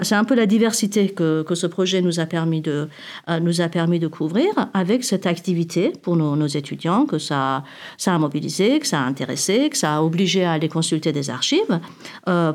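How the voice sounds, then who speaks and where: A woman's voice: lowish voice, darkish tone. A woman from Iran, a university researcher answering questions about her project, speaking with many fillers and restarts. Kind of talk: academic interview answer.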